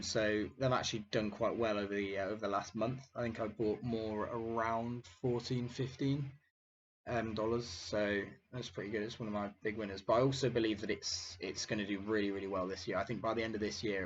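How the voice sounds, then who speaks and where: Croaky young man, hesitant market chat. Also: on the low side, fairly bright tone. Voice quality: hesitant, many fillers. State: croaky, recovering from illness. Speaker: finance video host. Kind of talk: casual market commentary. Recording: dull lo-fi sound, faint noise.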